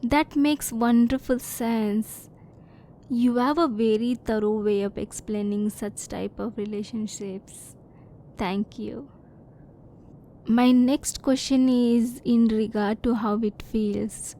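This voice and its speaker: A woman voicing a curious, reserved female alien in an ASMR roleplay, speaking softly in formal, stilted phrases, at times close to a whisper. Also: a little high, steady tone, slow pace, a little hiss or hum. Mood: curious, composed. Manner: soft-spoken, sometimes near whisper.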